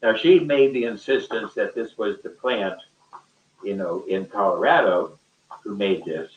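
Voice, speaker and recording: A man telling a rambling, conversational story in a halting, emphatic way, often repeating his phrases. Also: fairly low pitch, slight background noise. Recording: roomy echo, distant mic, muffled sound.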